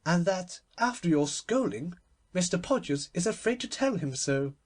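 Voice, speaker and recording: A man's voice: high voice, lively intonation, normal speed. A man voicing a pensive, plaintive society lady in an audiobook. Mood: teasing. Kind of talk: literary audiobook character voice.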